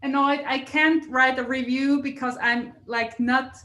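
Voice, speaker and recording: A woman hosting a podcast in English, which is not her first language, talking casually and often pausing to search for words. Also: high voice, steady tone, reverberant. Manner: warm, halting, searches for words. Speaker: podcast host. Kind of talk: casual interview chat.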